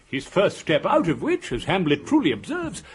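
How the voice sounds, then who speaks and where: A man's voice: high voice, wildly swooping pitch, moderate pace, crowd noise, heavily acted. An actor playing a witty, contrary male philosopher with a dry, cutting manner in a radio drama. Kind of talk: 1980s radio drama acting.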